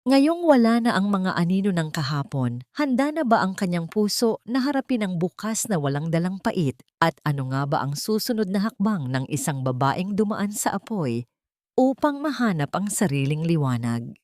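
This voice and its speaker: Low-voiced woman narrating a dramatic story. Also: sing-song, normal speed, darkish tone, no room sound, studio quality.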